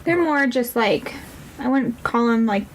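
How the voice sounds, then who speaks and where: A woman's voice: fairly high pitch, lively intonation, measured pace, slight background noise, low-quality recording. A woman with an American accent, explaining things conversationally as an interview guest. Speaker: nonprofit representative and guest.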